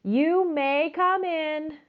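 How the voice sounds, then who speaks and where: A woman voicing a firm, reluctant but kindly old grandmother in a children's storybook, with emphatic, drawn-out phrases. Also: high-pitched, narrow, tinny audio, voice pushed up. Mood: relenting. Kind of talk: children's storybook read-aloud.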